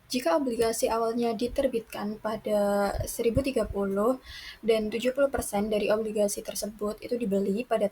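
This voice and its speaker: A young woman giving a student lesson presentation in a halting way, with broken-off words and restarts. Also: mid-range voice, darkish tone, rough recording. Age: young adult. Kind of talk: lesson presentation, working through accounting problems. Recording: noticeable background noise.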